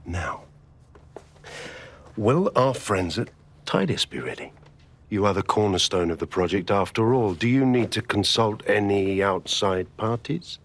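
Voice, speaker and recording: An actor playing a ruthless, self-assured private military chief in a video game, speaking in measured, emphatic oratory with deliberate pauses. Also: male voice, fairly low pitch, lively intonation. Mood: stern, menacing. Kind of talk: dramatic video game cutscene acting. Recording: music behind the voice.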